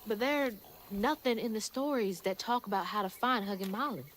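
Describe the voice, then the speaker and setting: A woman's voice: medium pitch, normal speed, fairly bright tone, slight background noise, lightly acted. An actress playing an earnest, determined young woman in a video game. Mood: worried, questioning. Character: earnest, determined young Southern woman searching for her mother. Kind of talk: video game cutscene dialogue.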